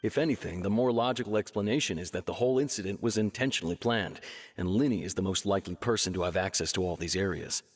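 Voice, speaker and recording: Deep, blunt game investigator. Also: male voice, slightly rough, in a large hall. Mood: serious, frank. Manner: straightforward, measured. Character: blunt, world-weary male investigator, serious and skeptical. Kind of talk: video game voice acting.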